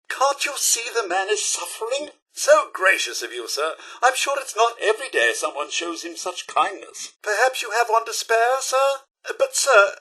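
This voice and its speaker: Prim robot butler, British accent, loyal, formal, moralizing, bright forceful tone, slightly raspy edge, video game dialogue. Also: male voice, high-pitched, exaggerated voice. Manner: formal, polite, theatrical, moralizing.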